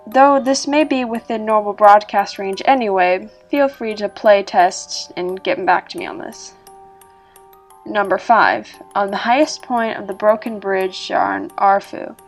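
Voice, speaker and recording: A woman reading scripted narration as a storyteller, in a measured, explanatory manner. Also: mid-range voice, steady tone. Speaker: narrator reading an online horror story about a video game. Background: music.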